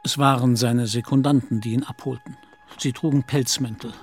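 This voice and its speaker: A man's voice: medium pitch, fairly even intonation, moderate pace, a little mellow, slightly rough. A man narrating a German radio play in a scripted, literary storytelling style. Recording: music behind.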